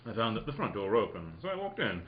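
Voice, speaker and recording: A man playing a flippant, easily distracted detective in a comic radio play, halting and prone to irreverent asides. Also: lowish voice, very animated, dull audio, slight background noise. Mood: wry. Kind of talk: comic old-time radio drama acting.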